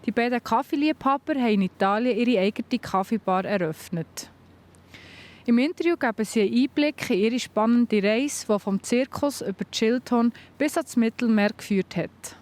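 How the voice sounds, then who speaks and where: Woman, Swiss German accent, news presenter, scripted reading, brisk, fairly high voice. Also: darkish tone. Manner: brisk, smooth link delivery.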